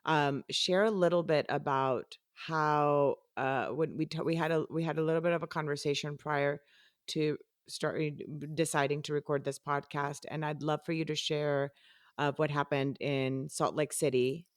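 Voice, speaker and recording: A woman's voice: sits low, fairly even intonation, moderate pace, no echo. Casting: middle-aged female podcast host, non-native English accent, encouraging and casual, dry close sound. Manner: encouraging, affirming listener. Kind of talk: casual conversational interviewing.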